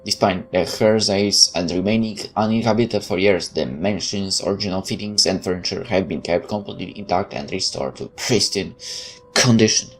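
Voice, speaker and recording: A man's voice: low voice, moderate pace. A man playing through a game, reading its text aloud and adding sarcastic asides. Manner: sarcastic, interjecting quips. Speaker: let's player.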